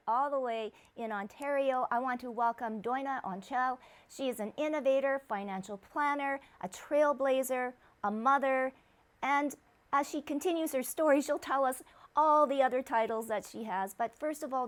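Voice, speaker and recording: A woman's voice: sits high, normal speed. Upbeat woman interview host. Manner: upbeat, welcoming. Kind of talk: interview hosting.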